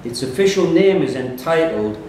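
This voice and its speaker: A man's voice: lively intonation, normal speed, warm tone. Male quiz question reader, reading aloud live; very reverberant pub room, faint noise. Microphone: distant room microphone. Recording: echoey room, distant mic, faint rumbling noise.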